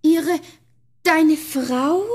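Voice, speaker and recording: A woman's voice: high-pitched, extremely slow. An actress playing a kind, modest poor young girl in a fairy-tale audio play, earnest and artless. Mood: hesitant, worried.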